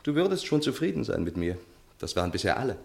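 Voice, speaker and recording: An actor playing a weary, hard-drinking young charmer in a theatrical, cajoling 1960s German radio play style. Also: male voice, mid-range voice, sing-song, fast, vocal fry. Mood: cajoling, defensive.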